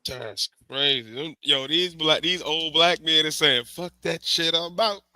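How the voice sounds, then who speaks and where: A man's voice: fairly high pitch, unhurried, clear, resonant tone, no room sound, clean studio sound. A man hosting a podcast in casual, slangy chat, talking through a cold. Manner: loose, animated, slangy banter. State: has a cold. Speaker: podcast host. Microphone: remote stream mic.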